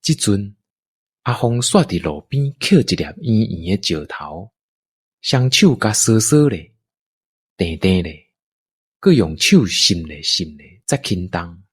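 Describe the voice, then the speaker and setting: A man's voice: low-pitched, sing-song, takes it slowly, breathy edge. A man with a Taiwanese accent narrating a scripted adventure story.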